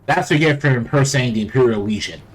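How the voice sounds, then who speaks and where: A man's voice: medium pitch, racing, breathy edge. A man hosting a let's play with casual, offhand and wry game commentary.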